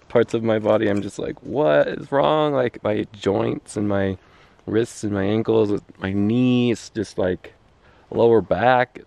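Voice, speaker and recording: A man of thirty chatting casually and haltingly to a handheld camera while walking outdoors on a trail, in an easygoing way. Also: fairly low pitch, a little mellow, no room sound. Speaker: vlogger. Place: outdoors on a wooded canyon trail. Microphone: handheld camera at arm's length. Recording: clear outdoor background noise on trail.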